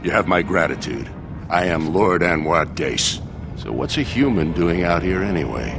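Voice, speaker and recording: An actor playing a formal, dignified dwarven warrior noble in a fantasy video game. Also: male voice, sits low, animated, moderate pace, vocal fry. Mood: grateful, earnest. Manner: formal, stately. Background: game music.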